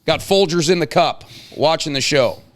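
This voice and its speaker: A man's voice: fairly high pitch, moderate pace, fairly bright tone. A middle-aged American man hosting a casual, chatty interview with folksy, joking banter. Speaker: show host interviewing guests.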